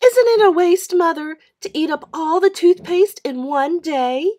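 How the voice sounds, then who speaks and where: Storybook role: indignant, tattling young girl, played by a woman, high, loud, insistent and bossy. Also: measured pace.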